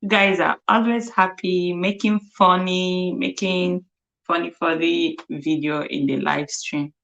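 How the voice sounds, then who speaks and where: A young Nigerian woman with a lowish voice chats slowly and easily on a livestream. Igbo is her first language, and her English carries a clear Nigerian accent. She laughs often and repeats words over and over as she sounds them out. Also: steady tone, a bit bright, highs cut off. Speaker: livestream host. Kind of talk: casual livestream chat.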